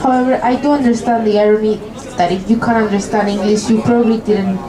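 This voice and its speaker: A woman's voice: mid-range voice, steady tone, quick pace, crowd noise, rough recording. A young woman from Spain with a Spanish accent, giving live commentary as a tour guide on a river cruise boat, friendly and lightly joking.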